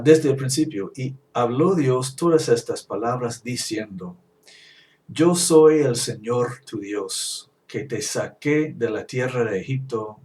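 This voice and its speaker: A man whose first language is English preaching a Bible lesson, halting and restarting at times, reading scripture slowly. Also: mid-range voice, darkish tone, recorded from a distance. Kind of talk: Bible teaching.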